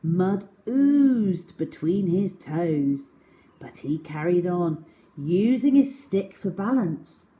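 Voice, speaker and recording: A woman narrating a children's story in an expressive, scripted read-aloud style. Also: fairly low pitch, takes it slowly.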